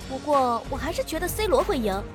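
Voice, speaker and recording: A woman's voice: high-pitched, big pitch swings, a little fast. A woman co-hosting a football show, chatting casually as a fan about her match predictions. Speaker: co-host giving fan opinion. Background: music.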